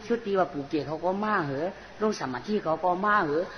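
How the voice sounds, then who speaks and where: A storyteller telling a comic folk tale in an animated way, often repeating short phrases. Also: mid-range voice, fast talker. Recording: muffled, noisy recording in a reverberant space.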